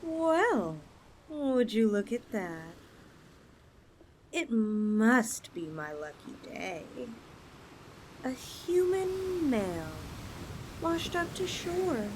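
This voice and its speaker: A woman's voice: fairly high pitch, slight background noise, full character voice. A woman playing a possessive, teasing snake girl in an ASMR audio roleplay, with a coy, cooing delivery. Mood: gloating, possessive. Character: possessive, teasing young yandere lamia girl, half snake.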